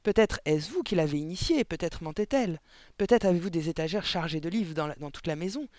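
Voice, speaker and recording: A man's voice: a little high, lively intonation, brisk, a little mellow, no echo, clean studio sound, voice pushed up, lightly acted. A man voicing a young woman schoolteacher in a storybook, polite, articulate and insistent. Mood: probing.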